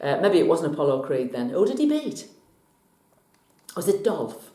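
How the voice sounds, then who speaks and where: Brief: middle-aged woman, tarot vlogger, lowish voice, a bit scratchy from a cold, echoey room. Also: very animated, moderate pace, dark tone. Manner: chatty and jokey, laughs often. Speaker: vlog host, tarot reader. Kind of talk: casual tarot teaching vlog.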